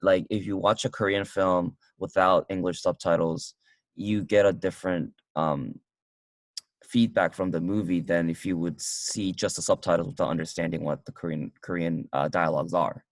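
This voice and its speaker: A man casually reviewing a film with a friend, talking in halting bursts with many restarts. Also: sits low, fairly even intonation, no echo. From United States. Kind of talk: casual movie review chat. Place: car.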